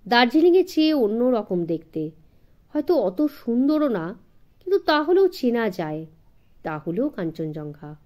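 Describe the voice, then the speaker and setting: Female audiobook narrator, fairly high voice, very animated pitch, dramatic scripted storytelling for a young audience. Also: normal speed.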